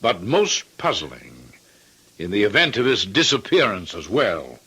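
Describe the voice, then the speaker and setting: A sober, reflective radio-drama gentleman. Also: fairly low pitch, sing-song, unhurried, breathy edge, full character voice. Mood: resigned, puzzled. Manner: hesitant, reflective. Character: sober, puzzled gentleman, resigned and measured. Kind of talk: old-time radio drama acting. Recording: faintly noisy vintage radio recording.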